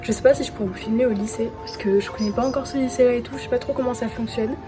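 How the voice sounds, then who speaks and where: A woman's voice: fairly high pitch, fairly even intonation, very fast, music in the background. A teenage girl vlogging in a casual, chatty way, with lots of little fillers as she talks. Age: teens. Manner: chatty, bubbly, lots of fillers like euh and bah. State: stuffy nose, losing her voice. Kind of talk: casual vlog chat.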